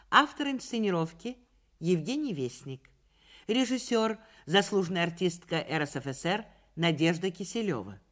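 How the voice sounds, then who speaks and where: Expressive, lowish-voiced female radio announcer. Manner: formal, deliberate enunciation. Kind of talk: formal scripted radio credits.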